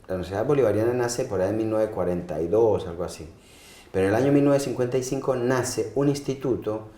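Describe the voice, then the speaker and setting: A low-pitched man in his fifties from Colombia, speaking as a neurosurgeon guest in an interview. His voice is mellow and soft-edged, and he pauses often and repeats words while he searches for the next one. The sound carries a roomy echo. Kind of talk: interview answers.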